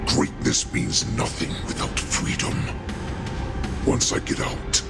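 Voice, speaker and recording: An actor playing an arrogant, savage wolf-beast warlord in a video game, growling with slow, scornful menace. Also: male voice, loud music behind the voice, lightly acted. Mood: impatient, commanding. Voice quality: deep gravelly growl. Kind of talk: dramatic video game acting.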